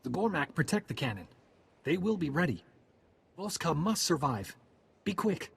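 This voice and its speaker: A man voicing a brisk, earnest alien commando messenger in a video game, giving curt, formal instructions. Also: on the high side, big pitch swings, creaky, husky, heavily acted. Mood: brisk, grave.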